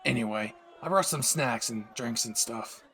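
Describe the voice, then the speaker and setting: A man playing a brash, abrasive young anime pro hero who is secretly doting, gruff and swearing, in an audio roleplay. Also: medium pitch, normal speed, bright, forceful tone, a little hiss or hum. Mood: gruffly fond. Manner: abrasive, swearing, barking, teasing.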